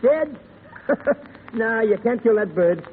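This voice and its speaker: Radio-play legion sergeant: high, rapid, breezy tough-guy slang, tinny reverberant old recording. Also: expressive, slight background noise, heavily acted. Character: brash, chatty Foreign Legion top sergeant. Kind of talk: 1930s radio drama acting.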